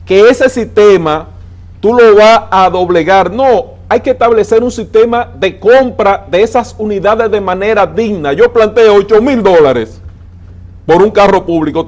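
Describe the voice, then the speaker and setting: A man's voice: high voice, darkish tone. Emphatic Dominican man explaining policy. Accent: Dominican Spanish. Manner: emphatic, explains with figures, slows down to stress points. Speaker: transport adviser guest. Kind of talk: interview on a TV talk show.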